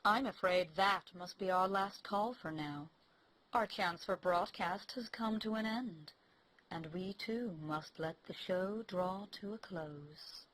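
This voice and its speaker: A woman playing a formal, measured, eerie co-host of a ghostly radio show. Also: mid-range voice, rough recording. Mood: solemn. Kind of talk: theatrical horror radio sign-off. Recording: quiet voice with faint background noise.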